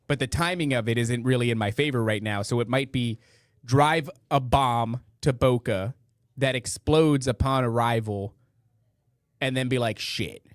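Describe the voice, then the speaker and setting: A man with a rough voice hosting a podcast, chatting casually in rambling, slangy, profane talk to a live audience online. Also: mid-range voice, a little slow, no room sound, studio quality. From northeastern United States. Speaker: podcast host. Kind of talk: casual live chat, reading listener comments.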